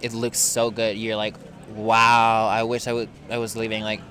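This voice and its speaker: A man's voice: on the low side, monotone, normal speed, pushed and bright. A man in his twenties talking frankly and laughing often as a podcast guest outdoors in a park. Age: 20s. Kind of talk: casual podcast chat.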